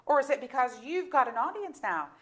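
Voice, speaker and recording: An exasperated middle-aged woman, a blunt wife and mother. Her voice sits a little high and swings widely. She delivers sardonic, articulate lines with emphasis, in the manner of a staged play reading. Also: big pitch swings, brisk. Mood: angry, scolding. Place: theatre auditorium.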